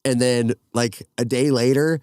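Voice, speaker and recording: A man's voice: medium pitch, fairly even intonation, measured pace, darkish tone, dry recording, clean studio sound. A man in his thirties chatting casually as a podcast host, bantering with the others. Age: 30s.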